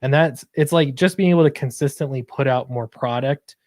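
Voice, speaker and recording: A man's voice: medium pitch, fairly quick, a little mellow, no room sound, clean studio sound. A man in a casual panel discussion, earnest and agreeable, feeling his way through points with small self-corrections.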